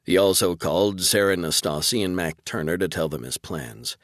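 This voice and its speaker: Deep guy reading an audiobook story, dry close sound. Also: lively intonation, moderate pace, no room sound. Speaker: audiobook narrator. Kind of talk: scripted fiction narration.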